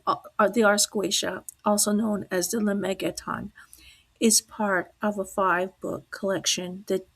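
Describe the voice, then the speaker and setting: A woman hosting a podcast in slow, deliberate speech, hoarse from losing her voice and dropping to a whisper at times. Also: medium pitch, low-quality recording. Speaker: podcast host. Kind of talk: slow, deliberate talk on the occult.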